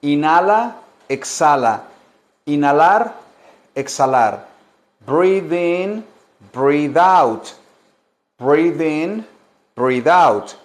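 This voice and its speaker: Slow, patient man drilling vocabulary. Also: a little high, lively intonation. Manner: slow, deliberate drilling with many repetitions. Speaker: English teacher. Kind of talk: bilingual language lesson.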